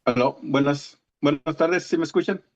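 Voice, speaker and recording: Over a dull, lo-fi video call, a man with a northern Mexican accent reads a formal statement to a hearing. His voice sits a little high, and he occasionally trips over the written words. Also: moderate pace. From Sonora, Mexico. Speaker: citizen speaker at a hearing. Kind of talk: formal prepared statement read out. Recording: muffled lo-fi video-call audio.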